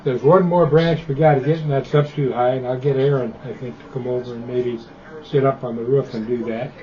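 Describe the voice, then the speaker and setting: An older man in easygoing chat on a ham radio call, talking in a relaxed, conversational way. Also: medium pitch, brisk, a little hiss or hum. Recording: dull, muffled radio audio.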